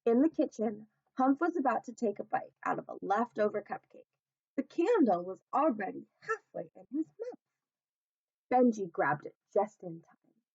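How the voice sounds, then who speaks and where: A woman narrating a children's storybook aloud as a teacher would, in a measured, expressive storytelling manner. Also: a little high, gravelly, husky, no room sound, lo-fi sound.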